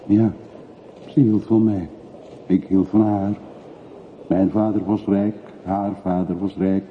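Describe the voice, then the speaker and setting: An actor playing a reserved, reflective middle-aged emigrant returning home in a radio play, speaking in a measured, reticent way. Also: male voice, fairly low pitch, little pitch movement. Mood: wistful. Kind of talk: radio drama acting. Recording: muffled, noisy old radio play recording.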